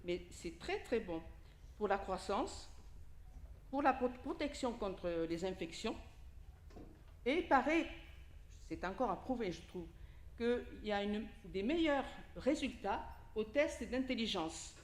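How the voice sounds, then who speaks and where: A woman's voice: a little high, animated, slow pace, roomy sound, a little hiss or hum. A woman from Italy, a native Italian speaker, giving an informal sermon and talking directly to the congregation with questions and asides. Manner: conversational, addresses listeners directly with questions and asides. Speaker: lay preacher. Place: church hall during a service.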